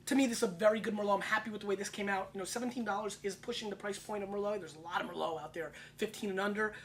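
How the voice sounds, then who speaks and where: A man's voice: high voice, steady tone, crowd noise. A man in his thirties hosting a wine tasting show, brash and joking as he chats. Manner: brash, rapid-fire, joking. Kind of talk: casual tasting chat.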